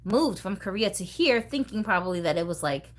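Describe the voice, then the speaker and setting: A middle-aged woman from the US East Coast hosting a casual vlog, talking to viewers in an expressive, slangy, chatty way. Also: mid-range voice, fairly quick. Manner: animated, slangy, expressive, addresses viewers directly. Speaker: host of a doll collecting channel.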